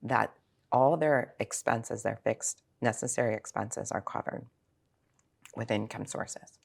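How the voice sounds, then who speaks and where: A woman's voice: rumbling low, a little slow, vocal fry, a little mellow, breathy edge. A sixty-year-old woman speaking as a financial planner in an interview, explaining things informally with examples and rhetorical questions. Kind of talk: conversational interview answers.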